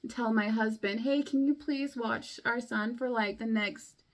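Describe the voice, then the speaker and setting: A young woman vlogging casually to camera, chatty and rambling, her voice a bit hoarse from a cold. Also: on the high side, a little slow. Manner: chatty, rambling, many likes and ums. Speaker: beauty vlogger.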